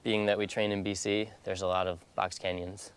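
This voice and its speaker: A man's voice: low voice, little pitch movement, fairly quick, smooth. An American man in his twenties from North Carolina, explaining plans casually in an interview. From North Carolina, USA. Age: 20s. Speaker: expedition paddler being interviewed.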